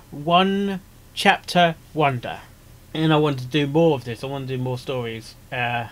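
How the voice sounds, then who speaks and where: A man giving casual, off-the-cuff commentary, hesitant and rambling, often repeating his phrases. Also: fairly high pitch. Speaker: reaction video host, amateur voice actor.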